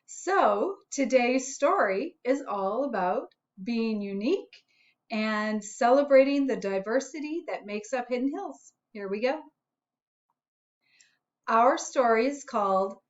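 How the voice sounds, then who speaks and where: A woman principal speaking to young pupils in a deliberate, simple storybook read-aloud manner. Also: fairly high pitch, lo-fi sound. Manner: addresses young children, simple deliberate phrasing.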